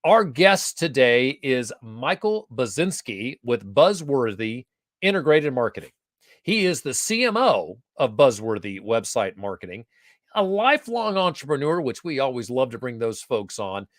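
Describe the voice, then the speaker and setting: A man's voice: medium pitch, a little slow, dry recording, studio quality. A man hosting a casual live online interview, chatty and playful as he welcomes his guest. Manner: chatty, jokey, animated. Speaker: host. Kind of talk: casual livestream interview chat. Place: Atlanta area.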